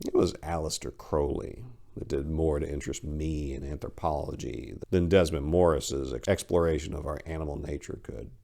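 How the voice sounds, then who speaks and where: A man giving a theatrical, measured dramatic reading of a literary short story as its narrator. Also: deep voice, creaky, a little mellow.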